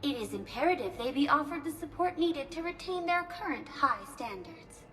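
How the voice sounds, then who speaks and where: A woman's voice: high voice, animated, moderate pace, pushed and bright. A woman playing a polite, efficient hospital receptionist in a game, speaking formally and precisely. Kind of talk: scripted informational game dialogue.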